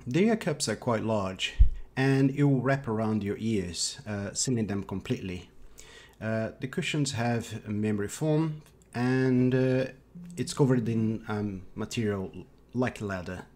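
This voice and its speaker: A man in his thirties giving a casual tech product review with hesitant, halting phrasing. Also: lowish voice, darkish tone. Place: small home office, indoors.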